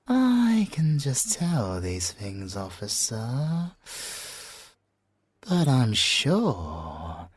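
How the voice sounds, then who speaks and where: Slow, husky, suave British male siren, acted by a man. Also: on the low side, darkish tone, no echo, full character voice. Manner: slow, drawn-out teasing delivery with whispered phrases. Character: suave, flirtatious, possessive male siren, smugly self-assured. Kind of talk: ASMR audio roleplay.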